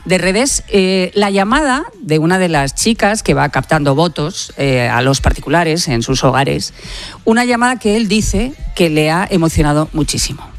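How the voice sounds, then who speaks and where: A woman's voice: on the low side, animated, moderate pace, faint music behind. A woman with an accent from Spain presenting on the radio, with conversational news commentary and an ironic, emphatic delivery.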